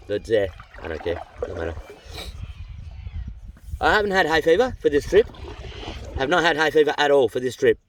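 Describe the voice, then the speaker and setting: A middle-aged Australian man on a long canoe trip, outdoors by a river, talking on in a tired, chatty, rambling way with slang and a slightly runny nose. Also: mid-range voice. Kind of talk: rambling casual vlog chat. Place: outdoors by a river among rocky cliffs. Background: birdsong in the bush.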